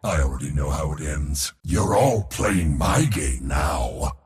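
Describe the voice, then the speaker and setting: An actor playing an arrogant, bloodthirsty war god for a video game, with a pompous, declamatory delivery. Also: male voice, very deep voice, expressive, a little slow, darkish tone, in a large hall. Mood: scornful, impatient. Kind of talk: theatrical video game character acting.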